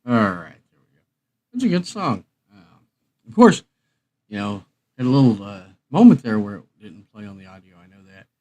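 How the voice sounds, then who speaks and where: A man's voice: mid-range voice, very animated, moderate pace, long pauses, a little mellow. A man hosting a music livestream in casual chat, rambling in an easygoing way with trailing asides. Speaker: streamer and host of a music channel.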